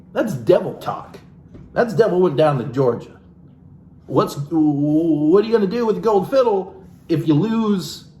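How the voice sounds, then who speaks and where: A man with a highish, very animated voice reads a book aloud with a theatrical, playful touch. He drops in wry, off-the-cuff commentary as he goes. The room rings with echo around him. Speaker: comedic reader and commentator. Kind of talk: reading a self-help book aloud with joking ad-libbed asides. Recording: echoey room with background hiss.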